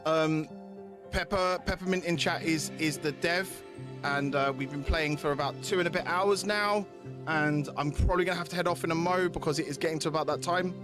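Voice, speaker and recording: A man's voice: on the high side, fairly even intonation, fairly quick. A man chatting casually to viewers as a game streamer, informal and sweary, often repeating himself. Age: adult, parent of young kids. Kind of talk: casual livestream chat with viewers. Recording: music playing behind the voice. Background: clear background music.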